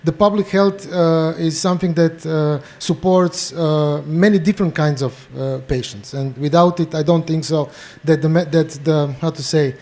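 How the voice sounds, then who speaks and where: A man with a Croatian accent introducing himself on a panel, halting, with many fillers and restarts. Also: a little high, steady tone, darkish tone. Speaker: panel guest, bioenergy therapist.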